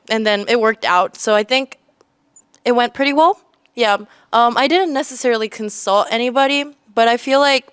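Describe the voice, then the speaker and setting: A young Japanese-native woman answering interview questions in a chatty, lively way, peppered with "like.". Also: mid-range voice, clear, resonant tone, dry recording, clean studio sound. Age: young adult. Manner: chatty, lively, uses "like" a lot. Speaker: senior teaching assistant.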